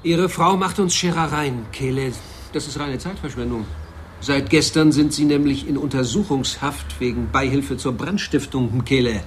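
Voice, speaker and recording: An actor playing a stern, procedure-minded police captain in a German radio drama, formal, measured and insistent. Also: male voice, mid-range voice, a little hiss or hum, lightly acted. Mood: stern pressure.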